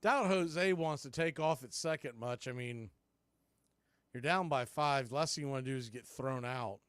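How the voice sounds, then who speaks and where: A man calling a baseball game live as a play-by-play announcer, mixing clipped pitch calls with folksy, casual asides. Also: normal speed, a bit bright, clear, resonant tone, no echo. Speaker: baseball play-by-play announcer for a fan radio stream.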